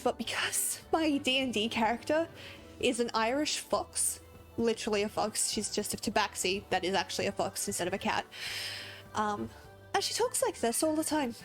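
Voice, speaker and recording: A woman's voice: on the high side, lively intonation, normal speed, soft background music. A young woman with an Australian accent drifting toward American, chatting in a rambling way while she streams a game and laughs often. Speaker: gaming streamer. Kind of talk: casual livestream chat while playing.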